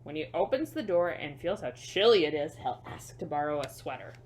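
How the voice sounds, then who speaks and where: A woman reading a picture book to children as a storyteller, expressive, with chatty, simple asides to young listeners. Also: sits low, fairly quick, slight background noise.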